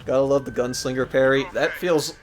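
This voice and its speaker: A man streaming a video game, reacting loudly, swearing and laughing as he plays. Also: medium pitch, steady tone, brisk. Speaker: gamer streaming a playthrough. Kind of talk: live gameplay commentary.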